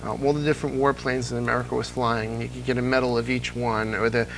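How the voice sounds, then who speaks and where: A man in his thirties, a guest on a studio interview show, who tells stories with animated energy and slips into quick impressions. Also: medium pitch, gravelly, a little hiss or hum, poor audio. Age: 30s. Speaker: guest, radio enthusiast and TV producer. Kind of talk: casual studio interview chat.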